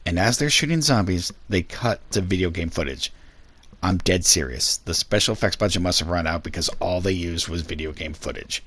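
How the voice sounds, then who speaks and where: A man hosting a scripted review video, giving opinionated, sweary and sarcastic commentary. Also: low-pitched.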